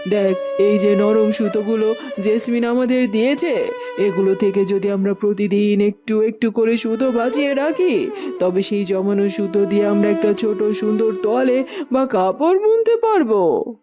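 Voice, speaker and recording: A woman's voice: mid-range voice, normal speed, over music, lightly acted. A woman playing a humble, earnest former queen full of repentance in a cartoon melodrama. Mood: remorseful, earnest.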